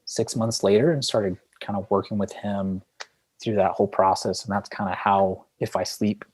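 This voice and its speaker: A man's voice: on the low side, steady tone, normal speed, darkish tone, slightly rough. A man speaking as a podcast guest and indie band frontman, telling stories casually in a rambling way full of "like" and "you know". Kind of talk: casual conversational storytelling.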